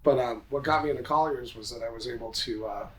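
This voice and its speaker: A man's voice: mid-range voice, fast talker, a bit bright. A middle-aged man giving casual, self-assured, informal answers as a podcast guest, with some room noise behind him. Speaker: podcast guest, commercial real estate entrepreneur. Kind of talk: casual interview talk.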